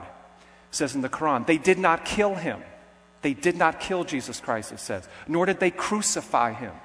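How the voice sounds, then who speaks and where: A man's voice: on the high side, fairly bright tone. A man preaching a sermon, putting rhetorical questions to his listeners and often checking in with "okay?". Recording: long hall reverb.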